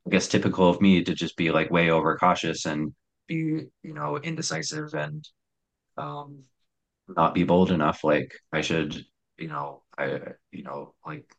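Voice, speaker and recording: A young man with a very deep voice telling his own story in a hesitant, reflective way, with many fillers and restarts. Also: very animated, a little slow.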